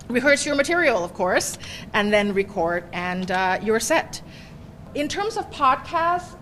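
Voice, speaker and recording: A woman's voice: fairly high pitch, fairly bright tone, a little hiss or hum. A middle-aged woman professor giving a conversational workshop presentation, often checking in with "right?". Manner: chatty, often ends points with "right?". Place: workshop room.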